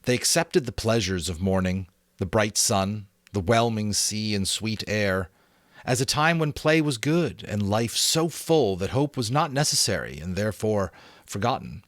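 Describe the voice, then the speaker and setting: Man, lowish voice, dramatic audiobook narration, expressive emphasis, dry close sound. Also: a little slow, no echo. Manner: expressive, varied emphasis, occasional self-corrections.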